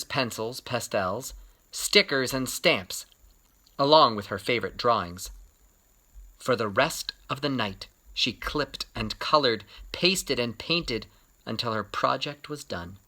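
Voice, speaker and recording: A man telling a children's picture-book story as a read-aloud, expressive and varied in his emphasis. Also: mid-range voice, takes it slowly.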